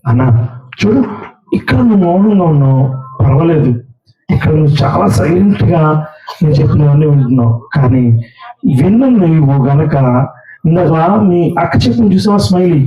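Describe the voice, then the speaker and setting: A man's voice: a little high, expressive, a little fast, long pauses, warm tone. A man teaching children at a Sunday school puppet show, coaxing and questioning a child in a large hall. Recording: roomy, distant, dull sound.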